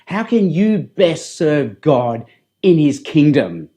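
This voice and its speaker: A middle-aged Australian man with a fairly high voice speaks straight to the viewer. He gives a personal testimony in an earnest, deliberate manner, like a practised public speaker. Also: sing-song, warm tone. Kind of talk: testimony addressed to camera.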